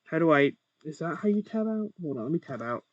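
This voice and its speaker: A young man with a monotone voice, chatting casually while playing a game and muttering to himself over his first recording. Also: fairly high pitch, a little fast, dry recording, dull audio. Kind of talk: casual gameplay commentary.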